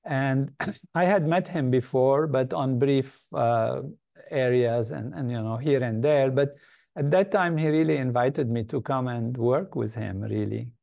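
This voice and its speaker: Older man from Lebanon, interview guest, thoughtful and measured, warm low-key tone. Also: creaky. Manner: measured, thoughtful, with frequent pauses and fillers. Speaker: guest, meditation organization leader. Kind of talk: reflective interview answers.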